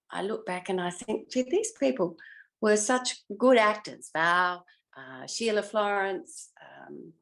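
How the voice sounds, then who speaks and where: An older woman, a former television actress, reminiscing in an interview in an effusive way, often pausing to search her memory. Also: mid-range voice, gravelly.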